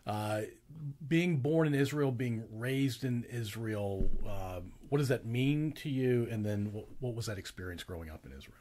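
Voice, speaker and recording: A man's voice: medium pitch, moderate pace, a little mellow. A man hosting a podcast interview, asking short follow-up questions. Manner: brief prompts and follow-up questions. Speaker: podcast host. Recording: faint background noise.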